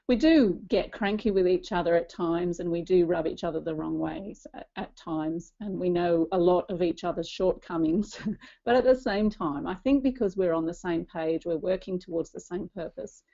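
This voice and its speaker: Woman, late 40s, soft dark tone, earnest personal talk, slightly muffled recording. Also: fairly low pitch. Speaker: church ministry leader speaking as co-presenter.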